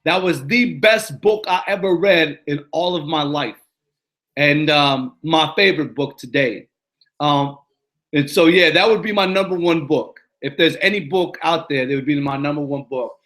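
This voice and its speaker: A high-pitched man with a New York accent runs a live motivational Q and A. His voice is bright and forceful, and he hammers his points home with repetition. He keeps checking in with his listeners. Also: moderate pace. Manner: emphatic, repetitive, preachy, keeps asking "you know what I'm saying". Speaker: motivational coach hosting a live Q and A. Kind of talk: live-stream Q and A monologue. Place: living in Arizona.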